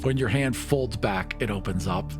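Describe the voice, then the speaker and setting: A man narrating a tabletop game as game master, with emphatic, vivid and deliberate storytelling. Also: on the low side. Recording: music bed behind the voice.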